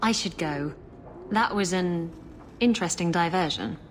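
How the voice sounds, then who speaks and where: A woman's voice: lowish voice, measured pace, a little hiss or hum, natural voice. An actress playing a sultry, guarded pirate woman in a video game, teasing and flippant. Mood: dismissive.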